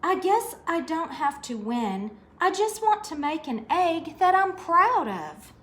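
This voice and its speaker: A woman's voice: extremely high pitch, very animated, takes it slowly, fairly bright tone, voice pushed up, heavily acted. A woman voicing an eager young male bunny from a picture book, earnest and exclamatory. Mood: thoughtful.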